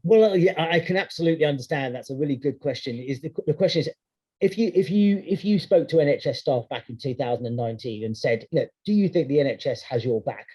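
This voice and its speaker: A man's voice: a little high, lively intonation, fast, mellow, husky. A man speaking at a webinar, answering a question in a conversational way with many restarts. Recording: dull lo-fi video-call audio.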